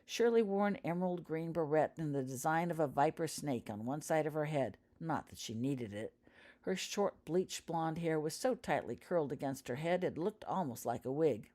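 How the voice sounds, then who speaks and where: A woman narrates a story in a low voice. Her reading is expressive, with a melody that rises and falls freely, in the manner of a storybook told aloud. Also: moderate pace. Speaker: author reading own fan fiction aloud.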